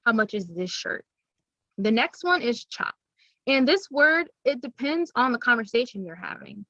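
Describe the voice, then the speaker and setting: A woman's voice: fairly high pitch, bright, forceful tone, dry recording, highs cut off. A young woman giving an upbeat, teacherly presentation to schoolchildren, explaining things plainly. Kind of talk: recorded classroom presentation.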